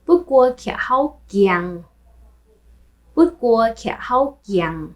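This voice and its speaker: A woman who grew up speaking Taishanese, hosting a language lesson where she repeats phrases slowly and translates them. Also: a little high, big pitch swings. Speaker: language teacher and host. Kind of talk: language lesson with film clips.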